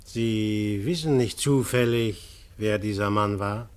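A calm amateur detective. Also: male voice, lowish voice, slow, clean tone. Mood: probing. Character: amateur detective, polite and probing. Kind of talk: 1950s radio drama acting.

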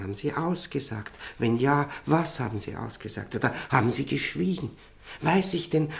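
An actor playing a haunted, cultured former prisoner in a radio play, telling his story intensely and deliberately with heavy pauses. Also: mid-range voice, creaky. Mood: anxious. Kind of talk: dramatic monologue in a radio play.

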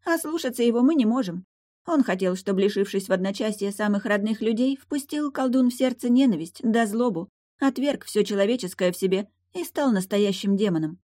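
A woman's voice: mid-range voice, animated, brisk, warm tone, dry recording, studio quality, pitched above the performer's own voice, natural voice. A woman voicing a young female mermaid in an audiobook, teasing, carefree, sly and haughty, with brash exclamations. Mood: matter-of-fact.

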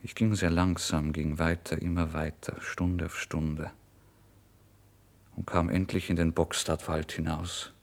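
A man narrating a literary first-person story in a brooding, expressive way, moving between hushed and emphatic delivery. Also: deep voice, unhurried, vocal fry. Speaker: audiobook narrator.

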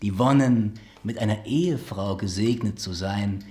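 A man playing a suave young gentleman charmer in a German radio play, smooth-talking and mock-solemn. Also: lowish voice, measured pace, close to an everyday voice. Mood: playfully grandiose.

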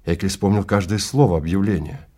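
A man narrating literary fiction in a theatrical, measured manner with deliberate phrasing. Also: low-pitched, animated, warm tone.

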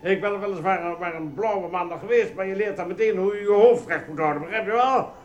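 Actor as pompous high-voiced male store manager. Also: a little fast, reverberant, lightly acted. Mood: smug, lecturing. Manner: pompous, officious. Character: smug, regimented male assistant supermarket manager proud of order. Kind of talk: radio play acting.

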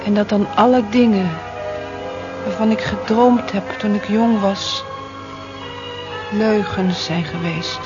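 Actress as disillusioned young woman, very slow halting confession, radio play, clear music behind, dull recording. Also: medium pitch, steady tone, lightly acted. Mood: sad, disillusioned. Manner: slow, halting, intimate delivery. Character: young woman Molly, wistful, disillusioned, confiding in a letter. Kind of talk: radio drama monologue, letter read aloud.

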